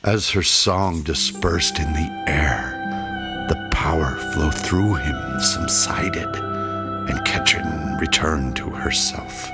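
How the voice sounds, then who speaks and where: Male audiobook narrator, extremely low register, unhurried dramatic fantasy storytelling. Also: loud music behind the voice.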